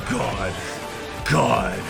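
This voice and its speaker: A man hosting a casual reaction show, commenting live in an exclamatory, excitable way and swearing freely. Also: fairly low pitch, very slow, gravelly. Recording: loud show soundtrack behind voice. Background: music from the watched show.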